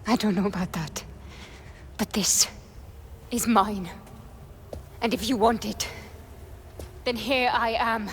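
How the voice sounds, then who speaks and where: A woman's voice: medium pitch, slow, long pauses, fairly bright tone, a little hiss or hum. An actress playing a determined, defiant young woman adventurer in a video game, speaking tersely and earnestly. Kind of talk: video game cutscene acting.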